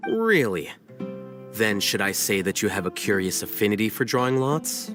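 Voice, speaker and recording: Actor as a calm young scholar in a game, deep voice, dry and teasing. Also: male voice, moderate pace, lightly acted. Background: game music.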